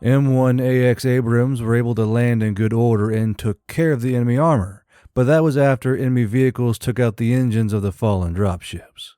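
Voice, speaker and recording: A man playing a calm, seasoned space-infantry platoon sergeant giving a steady, matter-of-fact briefing. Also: mid-range voice, fairly quick, hardly any pauses, smooth, dry recording, clean studio sound, lightly acted. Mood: calm, businesslike.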